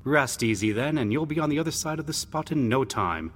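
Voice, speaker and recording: A man voicing a kindly young elder brother in a storybook, reassuring and protective in a brisk way. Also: mid-range voice, lively intonation, a bit bright, voice pushed up, lightly acted. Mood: cheerful, soothing. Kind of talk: audio storybook character voice. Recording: long hall reverb, background noise.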